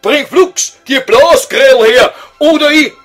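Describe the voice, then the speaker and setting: A man's voice: very high-pitched, slow, fairly bright tone, faint music behind, exaggerated voice. A man voicing a grumpy, tormented old scholar, whining and exasperated, with a Viennese accent in a comic radio sketch. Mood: impatient, angry.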